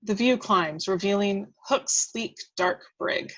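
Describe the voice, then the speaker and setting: A woman's voice: medium pitch, slow, muffled recording. A woman reading scripted action descriptions aloud at a table read, now and then stumbling and correcting herself.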